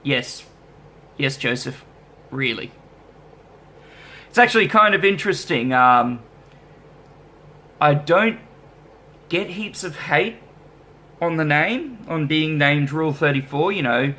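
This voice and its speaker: A man with a somewhat high voice chats loosely in a casual vlog. He is easygoing and self-deprecating, and his talk rambles with fillers as he answers viewer questions about his band. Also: expressive, slow pace, long pauses, bright, forceful tone. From Australia. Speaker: musician vlogger.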